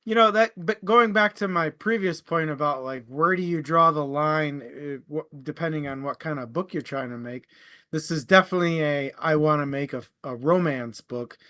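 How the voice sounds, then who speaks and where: A man co-hosting a casual book discussion, animated in how he makes his points. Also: fairly high pitch, moderate pace, no echo. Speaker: book podcast co-host.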